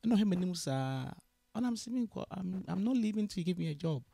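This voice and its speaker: A woman with a Ghanaian accent tells her story in an easy mix of English and Twi, and she voices each exchange with lively energy. Her voice is gravelly and full of fry. The sound is dry and close, with no room echo. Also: medium pitch, a little fast. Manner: switches freely between English and Twi, animated in retelling dialogue. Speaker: guest. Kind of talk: casual storytelling interview. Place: Canada.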